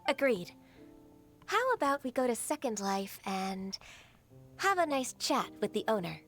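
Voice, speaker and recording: Composed young game secretary, polite, quietly firm, somewhat high voice, soft music behind. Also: female voice, very animated, measured pace, heavily acted. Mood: calm firm. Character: calm, gentle young female secretary.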